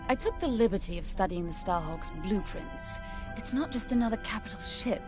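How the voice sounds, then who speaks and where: An actress playing a refined, earnest rebel starfighter pilot in a video game, speaking politely and articulately. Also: female voice, mid-range voice, lively intonation, fairly quick, close to an everyday voice. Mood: earnest, admiring. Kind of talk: video game character dialogue. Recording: clear music behind the voice.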